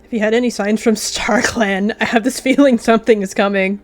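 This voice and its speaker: A woman's voice: a little high, steady tone, brisk, faint music behind, natural voice. A woman voicing a stern, blunt male cat who leads a clan, speaking formally in a game roleplay.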